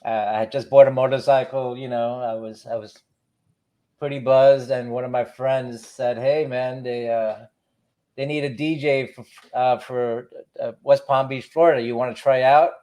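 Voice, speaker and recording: A middle-aged man from Cuba, raised partly in the United States, reminiscing casually as a DJ guest in a relaxed, halting way. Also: medium pitch. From born in Cuba, moved to the United States as a child. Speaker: DJ, podcast guest.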